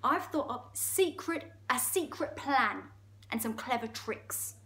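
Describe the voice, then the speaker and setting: A woman's voice: fairly high pitch, animated, pushed and bright, full character voice. Woman voicing a greedy, boastful, enormous storybook crocodile. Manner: gloating, drawn-out menace.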